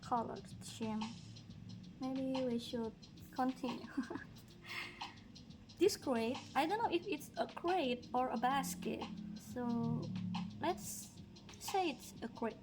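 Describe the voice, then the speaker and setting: A woman from Indonesia, speaking English as a second language, chatting slowly and musingly as she colors on a livestream. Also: high-pitched. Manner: slow, musing, talks while working, light laughs. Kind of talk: relaxed coloring livestream chat. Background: background music.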